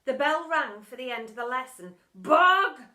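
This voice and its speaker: A woman's voice: on the high side, brisk, fairly bright tone. A woman narrating a children's storybook expressively and theatrically, as a primary school storytime reader. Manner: dramatic, theatrical.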